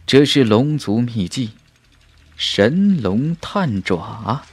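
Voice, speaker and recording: A man narrating a fantasy audiobook in a theatrical, suspenseful manner, with dramatic pauses. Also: mid-range voice, sing-song, slightly rough, dry recording.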